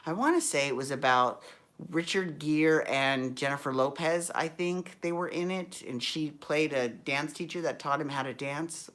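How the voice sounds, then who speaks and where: A man's voice, worn low and tired after a day of performing, carries through a hotel room. He chats in a sassy, rambling way, drifting into side stories and asides, with a pitch that sits in the middle for a man. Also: fairly bright tone. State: tired, deepened voice. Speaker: show host. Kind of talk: casual chatty recap vlog.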